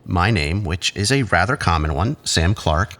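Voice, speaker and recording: A male actor playing a condescending, controlling psychiatrist who talks in patronizing, measured therapy-speak in an audio drama. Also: sits low, animated, fairly bright tone. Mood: smoothly patronizing.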